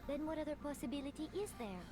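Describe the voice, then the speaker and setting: A woman's voice: high voice, lively intonation, fast. A woman playing a hesitant young teenage schoolgirl witness in an amateur anime game dub. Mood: earnest. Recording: music behind the voice.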